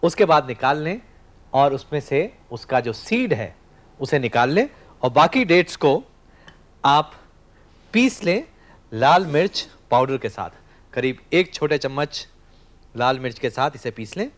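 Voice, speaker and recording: A man's voice: mid-range voice, sing-song, unhurried, long pauses, a bit bright. A man in his thirties hosting a studio cooking show, explaining each step while he cooks and talks to the camera. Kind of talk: scripted cooking demonstration. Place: TV studio kitchen set.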